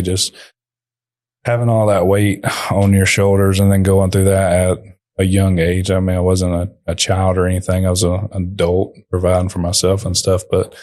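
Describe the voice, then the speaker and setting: Young man, business-owner guest: low, flat, soft-spoken, halting; dry close sound. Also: no room sound. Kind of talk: podcast interview.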